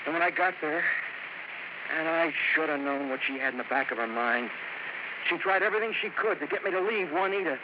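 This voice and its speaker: Actor as defiant bitter man, high voice, resentful emphatic delivery, old radio drama, muffled noisy recording. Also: brisk, close to an everyday voice. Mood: angry. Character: bitter runaway brother, defiant married man. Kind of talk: 1950s radio acting.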